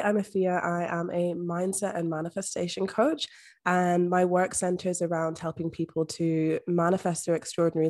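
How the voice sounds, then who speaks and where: A young woman from Scotland with a soft Scottish accent, a podcast guest speaking openly and reflectively in a casual interview. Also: fairly low pitch. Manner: open, reflective, uses "like" often. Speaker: podcast guest, mindset coach.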